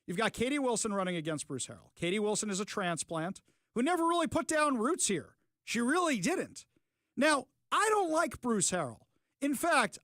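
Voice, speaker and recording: Male talk radio host, middle-aged, high pitch, combative and emphatic. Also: a bit bright, no echo, studio quality. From Seattle. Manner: emphatic, combative, punchy short declarations. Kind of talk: opinionated talk radio commentary. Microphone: studio microphone.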